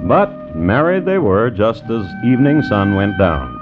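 A man narrating an old-time radio drama in a dramatic storytelling style, building up in measured phrases. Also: on the low side, very animated. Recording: tinny, band-limited old radio broadcast. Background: music.

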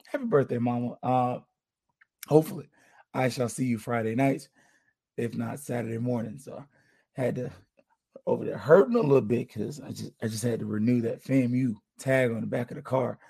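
A man hosts a casual sports podcast with a hoarse voice. His tone is mellow, with a gravelly rasp. He talks in a relaxed, chatty way and gives out shout-outs. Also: mid-range voice. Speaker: podcast host.

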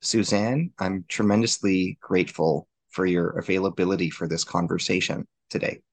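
A man hosting an academic podcast interview in a formal, deliberate way. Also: low voice, no room sound. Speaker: podcast host.

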